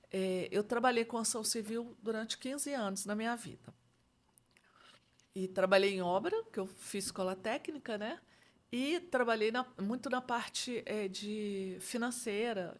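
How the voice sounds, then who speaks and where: A middle-aged Brazilian woman with a Brazilian Portuguese accent, speaking as a guest expert and explaining leadership ideas in a reflective, conversational way. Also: medium pitch. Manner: reflective, uses frequent "né?" and "entendeu?".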